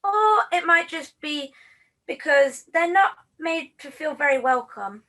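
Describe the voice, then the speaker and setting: Woman as very high, bright cuddly toy. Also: animated, a little slow. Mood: challenging. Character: outspoken cuddly toy standing up for its kind. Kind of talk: scripted puppet comedy dialogue.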